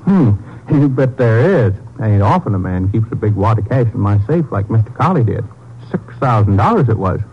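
A man's voice: on the low side, big pitch swings, normal speed, breathy edge. A man playing an eager, folksy small-town hotel clerk in a 1950s radio drama, with a Texan accent and a rambling, deferential drawl. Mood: chatty, confiding. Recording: muffled vintage radio recording.

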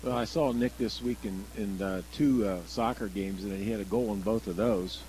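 An American man giving conversational color commentary on a football game, halting and repeating words as he thinks aloud. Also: on the low side, animated, dark tone, poor audio. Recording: clear background noise on live broadcast.

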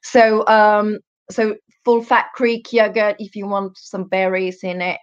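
A woman's voice: mid-range voice, little pitch movement, unhurried, clear, resonant tone. Dull-sounding recording, woman. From Europe, Finnish, born in Germany. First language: Finnish. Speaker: guest, health coach. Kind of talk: casual interview chat.

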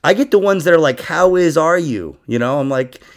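A man's voice: a little high, animated, a little fast. A man hosting a casual comedy podcast, joking and rambling as he chats with his guest. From New York.

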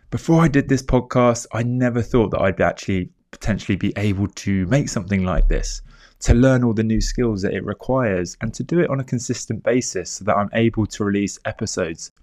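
A man hosting a solo podcast, sounding stuffed up from a cold and speaking in an earnest, measured way. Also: on the low side, darkish tone. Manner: earnest, measured, repeats key points. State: congested from a cold. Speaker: podcast host. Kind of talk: solo reflective podcast monologue.

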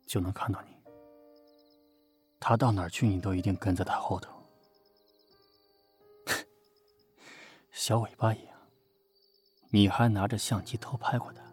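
A man's voice: on the low side, natural voice. A man in an audio drama playing a cold, jealous and possessive company boss with a curt, sardonic way of talking. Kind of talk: amateur Chinese audio drama acting.

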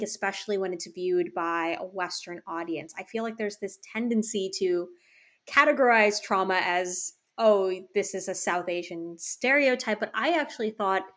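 A woman with a mid-range voice hosts a book interview. She talks in a casual, eager flow, full of "like" and small restarts, before building up to long questions. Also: mid-range voice. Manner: chatty, enthusiastic, many "like" fillers. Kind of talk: interview conversation.

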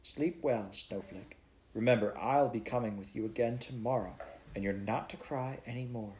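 A man reading aloud, voicing an eager, excitable little girl with a raised, wondering voice in a storybook. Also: moderate pace, slight background noise, close to an everyday voice. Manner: breathless, wondering, raised pitch.

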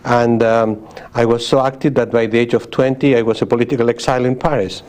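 A man in his sixties from Spain with a Spanish accent, talking as an interview guest, thoughtful, with frequent pauses and restarts. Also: medium pitch, steady tone, darkish tone. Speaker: guest, sociologist. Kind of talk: interview conversation.

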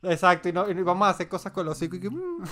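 A man's voice: high voice, big pitch swings, rapid-fire, a crowd in the background. A young Venezuelan man co-hosting a podcast in casual, joking chat, with a dry, playful delivery. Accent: Venezuelan Spanish. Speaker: podcast co-host.

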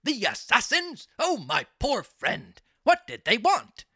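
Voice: medium pitch, wildly swooping pitch, slow pace, slightly rough, dry recording, clean studio sound, exaggerated voice. A performer voicing a sly, wheedling con-artist fox in a storybook, theatrical and persuasive with false sympathy. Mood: mock sympathy. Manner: theatrical, persuasive, mock-gentlemanly. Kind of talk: storybook character reading.

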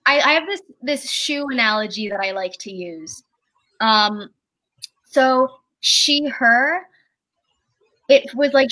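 A person with a very high speaking voice, chatting casually as a guest at home, rambling and halting with lots of fillers and restarts. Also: female voice, sharp tone, rough recording. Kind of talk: casual chat. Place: at home with a candle lit.

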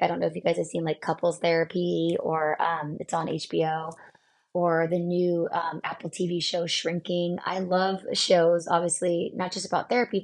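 Gravelly-voiced woman therapist, losing her voice. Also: fairly low pitch, a little mellow. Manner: reflective, halting, many fillers. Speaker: therapist and podcast host. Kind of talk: solo podcast talk.

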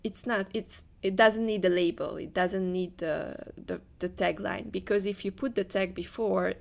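Creaky-voiced woman with Hungarian accent. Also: mid-range voice, fairly even intonation, normal speed. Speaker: interview guest, leadership coach. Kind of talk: conversational interview answers.

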